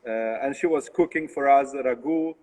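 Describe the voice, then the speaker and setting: A man's voice: mid-range voice, darkish tone, in a large hall. A young man with an Italian accent, a brewer talking casually in an interview over a video call, hesitant and full of fillers and restarts. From Italy. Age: young adult. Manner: halting, many fillers and restarts. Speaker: brewer, guest.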